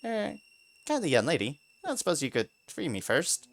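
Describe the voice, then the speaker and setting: A man's voice: a little high, huge pitch swings, normal speed, gravelly, pitched above the performer's own voice, full character voice. A man voicing a sly talking mouse that pleads politely and later turns into a boastful griffin. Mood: pleading. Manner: pleading and overly polite, then gloating.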